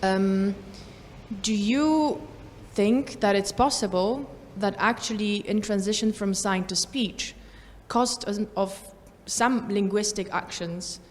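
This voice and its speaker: Woman asking a question in an echoey hall. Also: medium pitch. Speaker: audience member asking a question. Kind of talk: conference question, careful and halting. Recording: echoey hall, faint noise.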